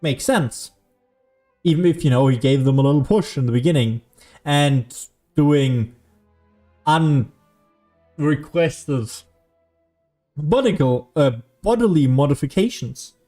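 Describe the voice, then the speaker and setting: A man's voice: fairly high pitch, slow pace, long pauses, warm tone. A young man giving casual gameplay commentary as a streamer, musing and arguing aloud and swearing casually. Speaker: game streamer. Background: game music.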